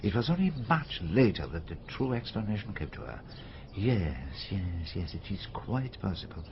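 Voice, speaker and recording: A man's voice: sits low, sing-song, moderate pace. A man playing a methodical, courteous foreign detective with a French accent and precise speech in a radio drama. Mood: thoughtful, intrigued. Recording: slightly dull, noisy radio drama audio.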